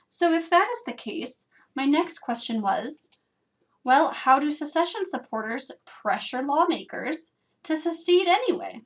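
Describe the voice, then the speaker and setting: A young librarian with a Wisconsin accent and a fairly high voice walks through a lesson in a scripted way. She keeps it lively, asks lots of questions and teases herself a little. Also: measured pace. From Wisconsin, USA. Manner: lively, self-teasing, asks many questions. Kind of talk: scripted instructional narration.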